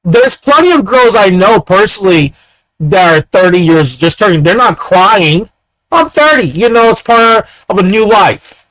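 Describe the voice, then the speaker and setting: A man's voice: high voice, animated, unhurried, a bit bright, dry recording, rough recording. A man in his thirties giving ranting commentary, firing off repeated rhetorical questions. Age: 30s. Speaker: commentator reacting to clips.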